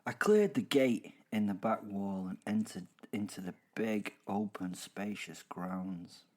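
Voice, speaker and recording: Man playing a slow-spoken, wistful werewolf storyteller. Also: lowish voice, animated. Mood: hushed awe. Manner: halting, repeats words, hushed dramatic pauses. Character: male werewolf killer, sentimental, menacing, wryly wistful. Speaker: narrator of a horror audio drama. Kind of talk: dramatic first-person storytelling.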